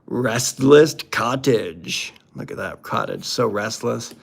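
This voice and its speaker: A man's voice: mid-range voice, expressive, takes it slowly, gravelly. A man hosting a casual unboxing commentary, talking in a playful, jokey, exclamatory way. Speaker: host opening card packs.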